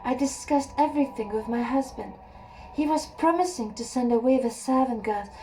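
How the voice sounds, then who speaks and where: A woman reading a first-person erotic story aloud in a slow, intimate way, with soft pauses. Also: a little high, music in the background, low-quality recording. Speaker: audiobook narrator.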